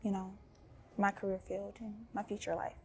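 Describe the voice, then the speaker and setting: A young woman from Georgia speaks as a guest on a student podcast. Her voice carries a lot of vocal fry, and she answers earnestly, softly, with frequent fillers. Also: mid-range voice, flat delivery, moderate pace. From Albany, Georgia. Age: young adult. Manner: soft-spoken, earnest, many fillers. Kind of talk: casual interview.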